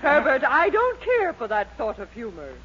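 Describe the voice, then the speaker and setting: A woman's voice: sits high, huge pitch swings, moderate pace. Imperious melodramatic landlady, old radio. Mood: stern, indignant. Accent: American. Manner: domineering, theatrical, sharp. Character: imperious, melodramatic middle-aged landlady and wife. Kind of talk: 1940s radio sitcom acting. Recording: muffled, hissy old 1940s radio recording.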